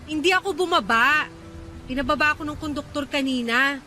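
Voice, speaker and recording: A woman's voice: high voice, brisk, sharp tone, faint music behind. An actress in a Filipino radio drama playing an irritated, tired young woman stranded late at night, complaining in exasperation. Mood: exasperated.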